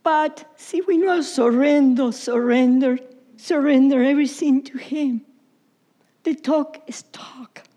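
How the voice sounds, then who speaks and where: Slow, high-voiced Argentine woman preaching. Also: fairly even intonation, dark tone. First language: Italian. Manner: halting, repetitive phrasing in non-native English, sometimes dropping to a whisper. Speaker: pastor. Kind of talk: sermon. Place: church service.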